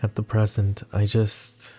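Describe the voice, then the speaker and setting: Brooding mafia boss, a man voicing him: deep, slow, intimate and teasing. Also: monotone, soft background music, lightly acted. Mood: tender. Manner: soft-spoken, teasing, intimate. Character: brooding male mafia boss, wry and tender. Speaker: voice actor. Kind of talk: ASMR roleplay.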